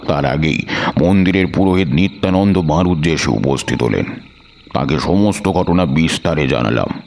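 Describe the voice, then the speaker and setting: An actor playing an aging tantric storyteller who muses slowly, in old-fashioned phrasing, about his past. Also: a bass voice, vocal fry, darkish tone. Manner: slow, musing, old-fashioned speech. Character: aging male tantric storyteller, weary and reflective. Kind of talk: audio drama narration and dialogue.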